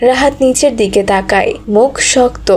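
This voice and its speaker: A woman's voice: a little high, normal speed, a little mellow. A woman giving scripted narration as the storyteller of a cartoon.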